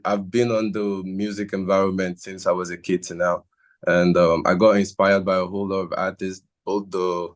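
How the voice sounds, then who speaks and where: A young musician talks through his story in a casual interview. His voice sits low and barely moves in pitch. He speaks earnestly, stopping and restarting as he looks for words. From Nigeria. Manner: earnest, halting, circles back on his words. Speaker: musician guest.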